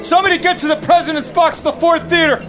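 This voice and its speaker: A man's voice: very high voice, fairly even intonation, quick pace, fairly bright tone, soft background music. An actor playing a desperate, agitated man in a radio drama, insistent and pleading. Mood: frantic. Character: desperate, agitated man urgently warning of disaster.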